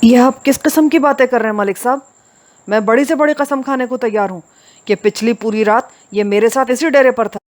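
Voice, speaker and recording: Woman playing a worried village man, raised and protesting, a bit higher than her usual. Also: a little fast, a little mellow, close to an everyday voice. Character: anxious village man, loyal friend.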